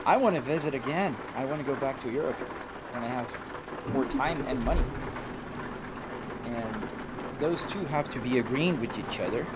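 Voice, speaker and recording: A man's voice: medium pitch, lively intonation, normal speed, pauses often, heavy background noise. A Brazilian man whose first language is Portuguese, chatting casually with viewers on a live stream while driving a truck sim, greeting people by name. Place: home sim rig.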